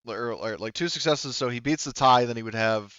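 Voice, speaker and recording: A man's voice: mid-range voice, a little fast, a bit bright, smooth, no room sound, dull audio. A man running a tabletop roleplaying game as game master, talking casually and hesitantly as he thinks aloud.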